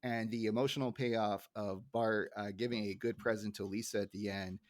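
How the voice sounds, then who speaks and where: A man's voice: lowish voice, steady tone, moderate pace, dry recording, studio quality. A man hosting a podcast in a casual, conversational style. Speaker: podcast host.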